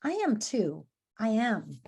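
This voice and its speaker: A woman's voice: a little high, very animated, slow, a little mellow, husky, heavily acted. A woman reading the part of a wistful young boy in a storybook, in a plaintive way. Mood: sad, wistful. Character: young boy, wistful, missing his grandfather. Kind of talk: storybook read-aloud.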